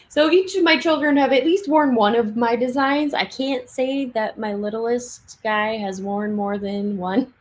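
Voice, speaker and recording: A young woman chatting casually on a livestream from her home craft room, laughing often and restarting sentences, her voice getting hoarse. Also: fairly high pitch, unhurried. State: losing her voice. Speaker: livestream speaker.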